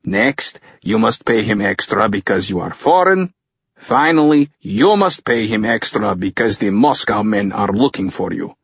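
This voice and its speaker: A man voicing a helpful, matter-of-fact priest who translates in measured, in an audiobook. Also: lowish voice, lively intonation, gravelly, breathy edge, dry recording, highs cut off, heavily acted.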